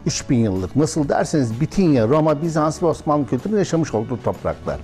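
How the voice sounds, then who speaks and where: A man in his fifties from Bursa, a hotel and restaurant owner answering interview questions in a measured way with frequent hesitations. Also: on the high side, big pitch swings, warm tone, soft background music. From Bursa, Turkey. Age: 50s. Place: historic restaurant dining room, indoors.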